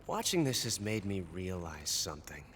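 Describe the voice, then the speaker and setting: An actor playing a cocky, suspicious young Soviet officer in a video game, taunting and theatrical. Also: male voice, low-pitched, big pitch swings, normal speed, a bit bright, slight background noise. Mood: gloating. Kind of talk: video game cutscene acting.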